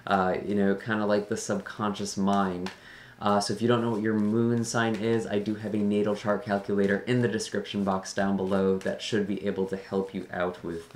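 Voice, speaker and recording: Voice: low-pitched, a bit bright. Slightly scratchy, tired-voiced tarot reader. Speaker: tarot reader and channel host. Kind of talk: casual talk introducing a general tarot reading.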